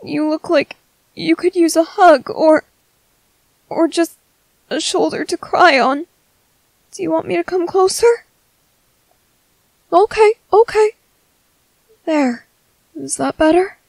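A woman playing a gentle, worried young merboy in an ASMR roleplay, speaking softly and hesitantly to comfort someone. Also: extremely high pitch, slow, creaky, pauses often, no echo, exaggerated voice. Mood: tender, soothing. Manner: soft, hesitant, soothing.

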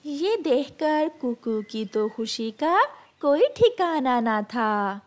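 A woman's voice: high-pitched, expressive, slow, darkish tone. A woman narrating a scripted story for children.

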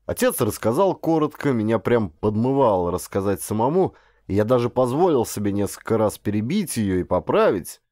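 Guy reading an audiobook, dramatic and really animated, dry studio-like sound. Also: on the low side, normal speed, hardly any pauses, dry recording. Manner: expressive, dramatic storytelling. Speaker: audiobook narrator, actor. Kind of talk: scripted literary narration.

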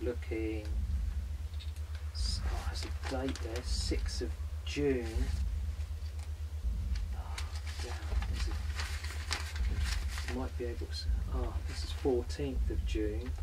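Slow, hesitant man in a dark farmhouse. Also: mid-range voice, steady tone. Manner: hesitant, soft-spoken, addresses unseen spirits. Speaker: paranormal investigator. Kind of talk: casual ghost-hunt chatter. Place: dark abandoned farmhouse room, indoors. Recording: loud hiss behind.

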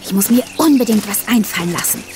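An actress playing a chatty, expressive teenage girl in a German children's audio drama. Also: mid-range voice, fast, a little hiss or hum, lightly acted. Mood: frustrated.

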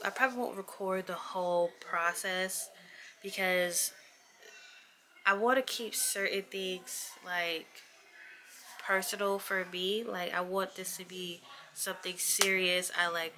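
A woman's voice: on the low side, measured pace. Twenties girl w a stuffy nose just chilling and chatting, faint hiss behind her. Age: 20s. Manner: relaxed, chatty, many fillers. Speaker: vlogger. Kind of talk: casual chat to camera. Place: small bedroom, indoors. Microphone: camera close up on a desk.

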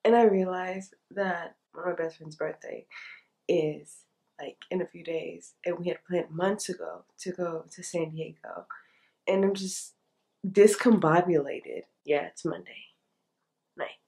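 A woman's voice: on the low side, steady tone, measured pace, gravelly, warm tone, breathy edge. Chatty congested young woman vlogging. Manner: chatty, rambling, addresses viewers as y'all. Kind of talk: casual vlog talk to camera. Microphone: handheld camera at arm's length.

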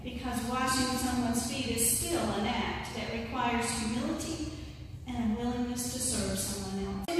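A middle-aged woman preaches a measured, earnest sermon in a small chapel. Her voice sits a little high and she takes her time. She is caught from a distance, and the words ring out in a long hall echo. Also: a little hiss or hum. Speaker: lay preacher. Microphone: lectern mic from a distance. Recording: distant mic, echoey hall.

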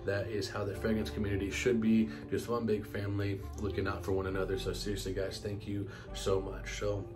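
A man's voice: fairly low pitch, completely flat delivery, fairly quick. A man hosting a fragrance vlog, talking casually with a stuffy, congested nasal voice from a cold. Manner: casual and chatty, many fillers, apologetic. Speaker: fragrance channel host. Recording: music playing clearly behind.

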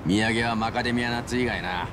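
An actor playing a brash, teasing male buddy in a video game, rough and animated, over rumbling street noise. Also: fast talker, natural voice. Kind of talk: dramatic video game voice acting.

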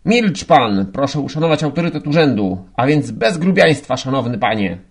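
A man voicing a furious, pompous police lieutenant in an audiobook, scolding and indignant in a loud shout. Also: mid-range voice, very animated, moderate pace, slightly rough, voice pushed up. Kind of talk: audiobook character acting.

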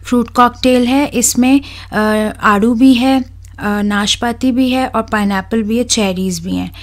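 A young woman, hosting a cooking channel and talking viewers through a recipe in a steady, instructive voice-over. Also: fairly high pitch, measured pace. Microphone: voice-over, close mic.

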